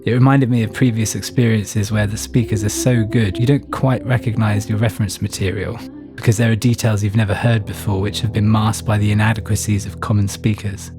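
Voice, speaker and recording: A man's voice: lowish voice, level tone, brisk. A man giving a scripted first-person narration, telling his own story. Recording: music playing behind the voice. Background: music.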